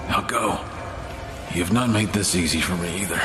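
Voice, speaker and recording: Man voicing grave old-hand warrior mentor, really low voice, solemn, music behind him. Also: moderate pace, close to an everyday voice. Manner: solemn, admonishing. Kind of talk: dark fantasy game cutscene acting. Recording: roomy echo, music behind.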